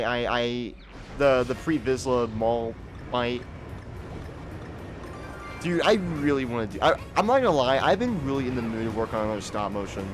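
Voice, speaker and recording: A young man talks loosely while playing a game on a live stream, tripping over his first words and circling back to finish his thoughts. His voice sits at an ordinary middle pitch. Faint game music plays underneath. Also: a bit bright. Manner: rambling, repeats words when starting sentences. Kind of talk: casual live-stream chat.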